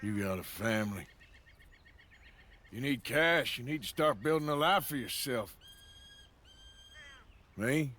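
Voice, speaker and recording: An actor playing a weary, protective middle-aged outlaw in a video game, grave and plain-spoken, with an American Western drawl. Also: male voice, medium pitch, expressive, slow, long pauses, sharp tone, exaggerated voice. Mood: weary, disillusioned. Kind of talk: dramatic video game acting.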